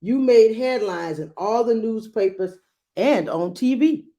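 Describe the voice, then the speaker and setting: A woman reading a children's picture book aloud, adding gentle explanatory asides for young listeners. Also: fairly high pitch, expressive, a little slow. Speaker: read-aloud storyteller.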